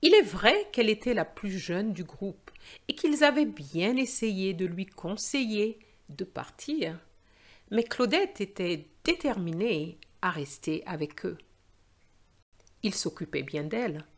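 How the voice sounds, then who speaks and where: Slow-paced woman teacher reading aloud. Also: mid-range voice, animated. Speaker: French teacher and storyteller. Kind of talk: slow, clear story reading for language learners.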